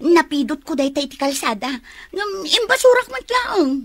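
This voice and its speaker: A woman's voice: very high voice, very animated, moderate pace, a bit bright, dry recording, exaggerated voice. A woman playing an evasive, defensive young woman in a radio drama, with a Filipino accent. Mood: flustered, evasive.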